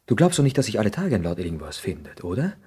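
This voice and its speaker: An actor playing an ambitious, hot-headed young son, idealistic and argumentative, in a 1960s German radio drama. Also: male voice, fairly low pitch, sing-song, fast talker, mellow, husky, exaggerated voice. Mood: defiant, impassioned. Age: young adult. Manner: impassioned, argumentative.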